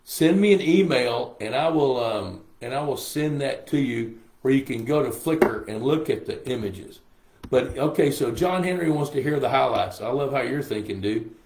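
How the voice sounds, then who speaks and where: An older man, a little hoarse, chatting casually with livestream viewers in a folksy way. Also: medium pitch, expressive, moderate pace, darkish tone, a little hiss or hum. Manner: chatty, folksy, reads viewer comments aloud. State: hoarse from a lingering cough. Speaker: host. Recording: roomy echo on a live stream.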